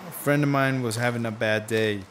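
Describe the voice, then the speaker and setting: A man's voice: medium pitch, steady tone, a little fast, noisy background, close to an everyday voice. A man playing an earnest, persuasive friend in a radio drama, in a casual, hesitant, coaxing way.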